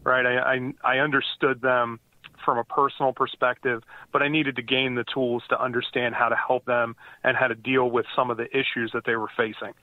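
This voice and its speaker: A man's voice: medium pitch, flat delivery, fairly quick, dry recording. A man in his forties from the US East Coast, a guest author chatting casually in an interview and rambling with lots of fillers and restarts. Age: 40s. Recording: dry tinny phone-like line.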